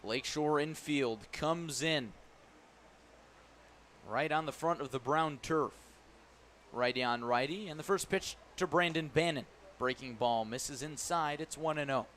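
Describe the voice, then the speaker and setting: A man calling a baseball game live on radio as the play-by-play announcer, describing each pitch in detail. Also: a little slow. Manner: descriptive, excited calls rising on big plays. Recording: clear background noise at ballpark. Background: ballpark ambience.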